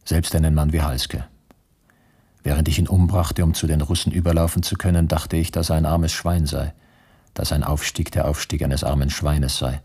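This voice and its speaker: An actor playing an embittered male communist prisoner in a radio play, reciting his letters in a grave, measured, reflective way with a husky voice. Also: extremely low pitch, little pitch movement, darkish tone, full character voice. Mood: grave, confessional. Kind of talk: literary radio play monologue.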